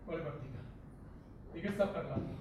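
Stage drama: male actor as cornered young man, defiant and defensive, high voice, far from the mic in a very reverberant theatre. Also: brisk, background noise, natural voice. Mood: wary, defensive. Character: defiant, frightened young male rugby player under interrogation. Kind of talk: live stage drama acting. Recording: very echoey theatre recording from far off.

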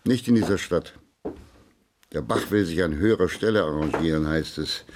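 An actor in a German radio drama playing a blunt, gossip-bearing musician who is dry, pointed and scolding. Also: male voice, low voice, moderate pace, background noise. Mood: dry, needling.

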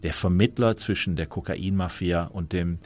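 Deep voiced guy, expert commentator explaining stuff for a documentary. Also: moderate pace, darkish tone.

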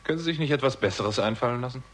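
A man's voice: mid-range voice, expressive, racing, natural voice. A man playing a dogged, dry-witted investigator in a German radio crime drama, questioning in short, probing phrases. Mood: probing, insistent.

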